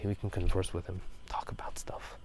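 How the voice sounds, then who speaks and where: A man doing casual ASMR game talk in a soft, breathy half-whisper, musing in a halting, rambling way. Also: low-pitched, little pitch movement. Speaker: ASMR video host. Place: outdoors at night. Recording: noisy outdoor ambience. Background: drainage pipe water, passing cars.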